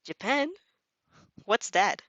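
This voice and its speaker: A woman's voice: medium pitch, animated, slow pace, fairly bright tone, no echo. A dry, lo-fi recording of a woman reading as a banished young vampire girl. She is friendly and naive, explaining herself in an earnest, chatty way, as in a storybook. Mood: friendly, curious.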